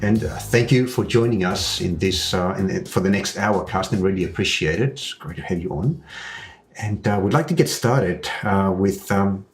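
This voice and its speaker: A man hosts a podcast in a lower voice, opening with an emphatic scripted intro before easing into loose chat full of fillers. Music is clearly heard behind him. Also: moderate pace. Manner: reads intro in a lively, emphatic way, then chats with fillers. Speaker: podcast host.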